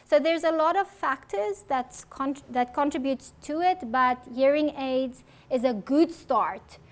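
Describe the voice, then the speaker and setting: A woman's voice: high voice, expressive, measured pace. A woman with a South African accent, an audiologist giving a health talk and answering audience questions in an earnest, reassuring way. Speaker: audiologist presenter.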